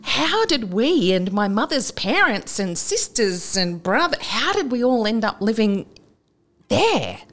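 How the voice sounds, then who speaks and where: A woman's voice: a little high, very animated, unhurried, a bit bright. An Australian woman in her fifties, a broadcaster and interview guest, telling personal stories candidly in casual conversation, with frequent ums and self-corrections. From northern New South Wales, Australia.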